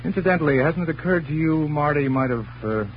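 A man's voice: on the high side, animated, fast, natural voice. An actor playing a cocky, unflappable tough guy in a 1940s hardboiled radio drama, slangy and sardonic, teasing with endearments. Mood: needling. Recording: muffled old radio recording, faint hiss.

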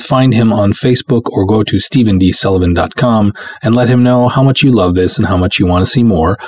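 A man's voice: mid-range voice, a little mellow, no echo. A man hosting a podcast, talking directly to his listeners in a monologue. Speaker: podcast host, writer and director. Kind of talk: podcast monologue, motivational announcements.

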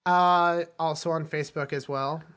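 A man's voice: on the high side, steady tone, normal speed, fairly bright tone, smooth. A man co-hosting a film podcast, chatting casually and bluntly. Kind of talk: casual chat.

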